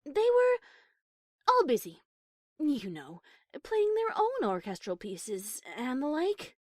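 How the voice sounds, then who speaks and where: A woman playing a prim, high-class pony cellist with proper, formal manners in an audio story. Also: huge pitch swings, takes it slowly, no room sound, studio quality, exaggerated voice. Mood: awkward, flustered. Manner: posh, proper, formal wording. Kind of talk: character acting in audio story reading.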